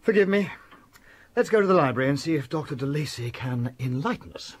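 Young English gentleman, radio drama, earnest, impulsive, fairly high, very animated. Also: brisk, breathy edge. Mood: puzzled, troubled.

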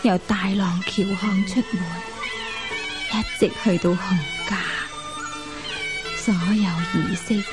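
A woman's voice: mid-range voice, fairly even intonation, slow, pauses often, lightly acted. A woman in a radio drama playing a reflective, respectful woman recalling her past. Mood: wistful, respectful. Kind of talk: radio drama narration in recollection. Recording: radio broadcast with music underneath.

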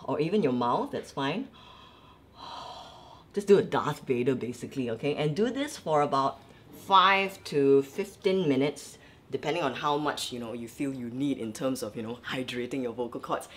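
A young woman vocal coach, from Malaysia, giving lively, chatty tips in a vlog while her voice is dry and scratchy. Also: extremely low pitch, normal speed. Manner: lively, chatty, many fillers. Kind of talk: instructional vlog.

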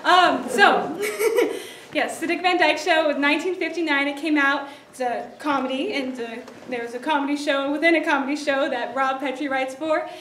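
A woman's voice: high voice, moderate pace, a bit bright. A young woman giving an informal, chatty introduction to a show as its director, with a giggly manner. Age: young adult. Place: theatre stage, indoors. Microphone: distant audience camera. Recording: echoey theatre from far off, noisy.

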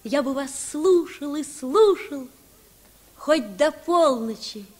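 An actress playing an earnest, eager schoolboy with sincere boyish delivery in a Soviet radio play. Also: female voice, high voice, lively intonation, slow, very noisy.

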